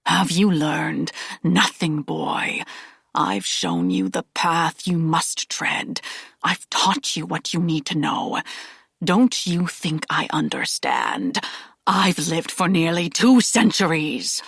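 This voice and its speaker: A woman voicing an ancient male mentor who speaks in a hoarse, insistent whisper. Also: sits low, animated, takes it slowly, dry recording, studio quality, heavily acted. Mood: hoarse intensity. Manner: insistent, commanding. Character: ancient male mentor, hoarse, stern, nearly two centuries old.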